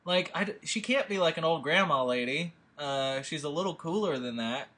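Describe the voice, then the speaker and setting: A man's voice: on the high side, expressive, moderate pace, fairly bright tone. A man leading a table read, chatting casually from home over a video call, rambling with lots of fillers and restarts. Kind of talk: casual chat.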